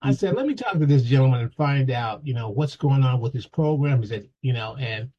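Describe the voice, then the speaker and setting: Man, show host, halting speech, many restarts, husky voice, dull lo-fi sound. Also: medium pitch, expressive, dark tone. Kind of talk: casual interview.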